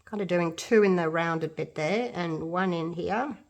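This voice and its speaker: A woman's voice: fairly low pitch, a little slow. A middle-aged Australian woman talks through a craft demonstration in an easy, chatty way. Her nose is blocked up, so her voice sounds congested, and she thinks aloud and pokes fun at herself as she goes. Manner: chatty, self-deprecating, thinking aloud while working. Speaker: resin art tutor. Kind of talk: casual craft tutorial.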